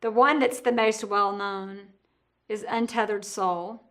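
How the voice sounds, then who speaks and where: A woman hosting a casual podcast interview, talkative and thinking out loud, often correcting herself as she goes. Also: medium pitch, steady tone, takes it slowly.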